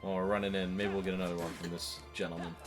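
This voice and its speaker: Fast-talking man with a lowish voice. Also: little pitch movement, music in the background. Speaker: gaming show co-host. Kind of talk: casual let's play commentary chat.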